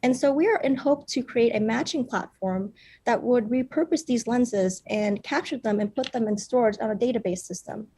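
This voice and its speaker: A young woman from Vietnam, a startup founder, gives an earnest pitch with frequent fillers. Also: moderate pace, warm tone, breathy edge. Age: young adult.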